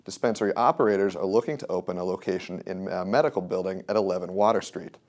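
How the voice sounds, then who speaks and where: A man's voice: sits low, fast talker, darkish tone. An American man reading a scripted local news report as a reporter.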